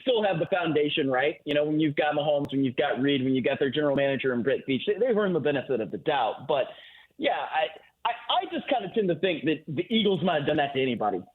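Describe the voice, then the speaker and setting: Male sports analyst, guest by phone, fairly high pitch, conversational and explanatory, narrow tinny line. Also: lively intonation, quick pace, slightly rough. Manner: conversational, long explanatory answers peppered with "you know" and "right?". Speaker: guest football analyst on a sports radio show. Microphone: phone or remote call-in line.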